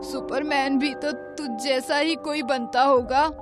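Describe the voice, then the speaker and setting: Woman acting old grandmother, high-pitched, unhurried, doting, emphatic scolding, cartoon, music underneath. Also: fairly even intonation. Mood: doting, proud.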